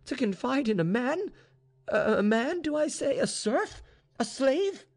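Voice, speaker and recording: Haughty young Russian lady in despair, played by a male narrator, very high voice, exclamatory and questioning, for a storybook. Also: huge pitch swings, slow, creaky, dark tone, husky, heavily acted. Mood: indignant. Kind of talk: storybook dialogue reading.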